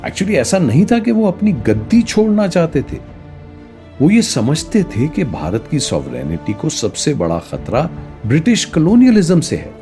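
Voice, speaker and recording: A man's voice: a little high, normal speed, soft background music. A man with an Indian accent playing an enthusiastic, encouraging teacher who explains history conversationally in a radio play. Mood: enthusiastic, explanatory. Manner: animated, encouraging, asks rhetorical questions. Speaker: teacher and storyteller.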